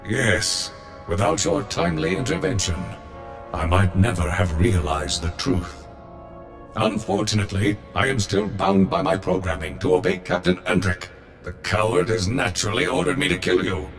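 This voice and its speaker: Deep, grandiose patriotic war droid. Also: male voice, animated, moderate pace, gravelly, soft background music. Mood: elated. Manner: formal, grandiose, verbose. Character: patriotic, honor-bound male war droid, loyal and self-reproaching. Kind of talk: video game voice acting.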